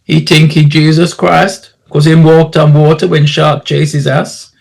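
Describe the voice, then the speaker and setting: Storybook role: excitable island chap, acted by a male narrator, blunt and teasing, voice often raised in pitch. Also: a little slow, creaky. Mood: mocking.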